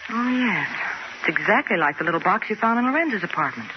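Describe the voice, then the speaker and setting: A woman's voice: medium pitch, big pitch swings, a little fast, lightly acted. A woman playing a poised, practical lady companion to a sleuth, a little uneasy, in a 1940s radio drama. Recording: muffled, noisy 1940s radio recording.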